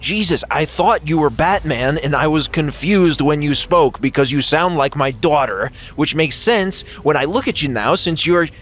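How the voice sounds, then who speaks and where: A man's voice: a little high, animated, normal speed, few pauses, fairly bright tone. A man reading the part of a bumbling middle-aged police commissioner in a comedic script reading, hammy and rambling, laughing now and then. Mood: flustered. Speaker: podcast co-host.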